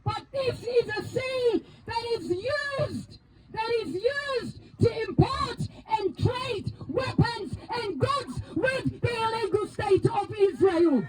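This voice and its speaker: A woman from Palestine, a native Arabic speaker, giving a loud, emphatic, impassioned speech as co-chair at an outdoor protest rally. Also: extremely high pitch, expressive, slow, poor audio. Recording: outdoor rally, crowd and faint noise.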